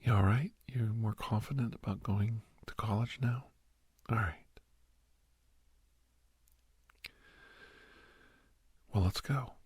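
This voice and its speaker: A man playing a kindly older doctor in an ASMR roleplay, reminiscing and reassuring in a soft, breathy, gravelly whisper-talk. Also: fairly low pitch, dry recording. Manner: slow, rambling, gentle, chuckling storyteller.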